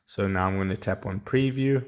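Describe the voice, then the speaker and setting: A man's voice: on the low side, normal speed, clean tone. A man presenting a casual step-by-step how-to tutorial in a plain, instructional way.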